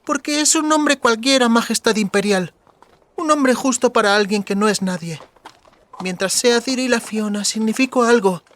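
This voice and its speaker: A man's voice: very high voice, moderate pace, fairly bright tone, pitched above the performer's own voice. A man voicing a frightened, submissive young girl posing as a princess in an audiobook, formal and trembling. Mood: anguished.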